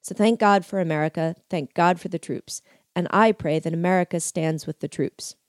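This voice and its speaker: A man's voice: high-pitched, normal speed, dry recording, studio quality, lightly acted. A woman voicing a small, fiery older male biker preacher with a Southern accent and an emotional, drawling preaching manner.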